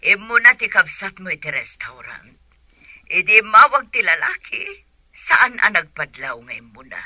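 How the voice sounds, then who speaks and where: Exasperated, confiding Filipina mother, radio serial; piercingly bright, animated, dry sound. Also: mid-range voice, normal speed, husky, dry recording, heavily acted, poor audio. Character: middle-aged mother, exasperated, confiding gossip. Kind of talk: Ilocano radio drama acting.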